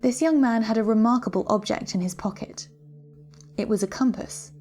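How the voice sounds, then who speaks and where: A woman reading a literary story as audiobook narration, with a measured, descriptive storytelling delivery. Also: a little high, darkish tone, faint music behind. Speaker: story reader.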